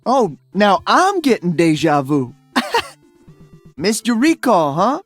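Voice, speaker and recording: A man's voice: high-pitched, huge pitch swings, slow pace, soft background music, heavily acted. An actor voicing an upbeat, cocky young male DJ hero in a video game, breezy, exuberant and slangy. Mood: playful, teasing. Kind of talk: video game character voice lines.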